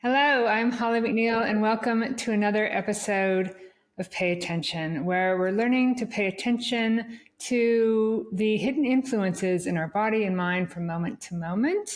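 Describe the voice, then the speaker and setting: A woman hosts a podcast in a chatty, friendly-sounding manner. Her voice sits at a middle pitch. She often repeats words and restarts her sentences as she talks. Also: moderate pace. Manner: chatty, repeats words while searching for them. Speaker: podcast host. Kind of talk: podcast hosting.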